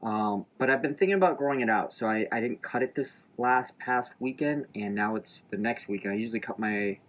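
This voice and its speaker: A man's voice: medium pitch, brisk. A man with a mid-range voice talks through his shaving routine. He is a little stuffed up, so his voice comes out slightly nasal. He rambles casually, with plenty of fillers. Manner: rambling, relaxed, many fillers. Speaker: shaving vlogger. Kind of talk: casual chat to camera. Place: inside an RV.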